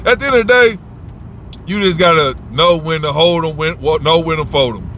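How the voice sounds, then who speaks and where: A man's voice: a little high, brisk, bright, forceful tone, slight background noise. A man giving advice in a casual, unscripted monologue, blunt and rambling, swearing freely and restarting his sentences.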